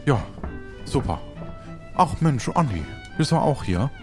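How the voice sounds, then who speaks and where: A man's voice: mid-range voice, big pitch swings, unhurried, music in the background. A man playing an easygoing, chummy pirate customer who talks in a folksy way. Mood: relaxed, chummy. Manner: folksy, ends sentences with "ne?".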